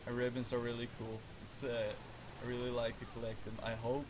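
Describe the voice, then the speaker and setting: A man's voice: medium pitch, a little fast. A young man with a Dutch accent giving casual live commentary while playing, rambling with lots of fillers and small chuckles. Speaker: livestreaming gamer. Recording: steady background noise.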